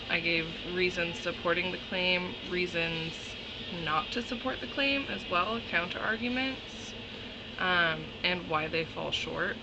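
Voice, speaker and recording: Slow, hesitant young woman over loud traffic noise. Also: fairly low pitch. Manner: hesitant, pausing often with ums. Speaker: college student. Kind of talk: recorded class discussion post.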